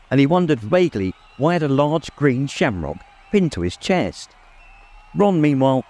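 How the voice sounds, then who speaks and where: A man reading a story aloud as dramatic scripted narration, expressive and suspenseful. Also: medium pitch. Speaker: audiobook reader. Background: music, immersive production.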